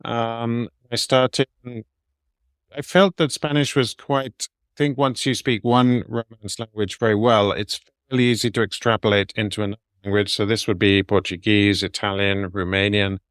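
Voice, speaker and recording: A middle-aged man answering interview questions in a measured, explanatory way, laying out his advice point by point. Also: lowish voice, level tone, a bit bright, clean tone, dry recording, clean studio sound. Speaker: guest, medical translator.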